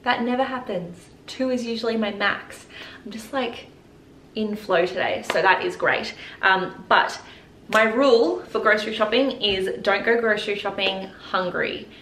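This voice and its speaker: A woman's voice: mid-range voice, moderate pace, fairly bright tone. A woman chatting casually to camera as a health vlogger, recorded indoors at home. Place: indoors in a home kitchen and living room. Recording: roomy echo with clear background noise.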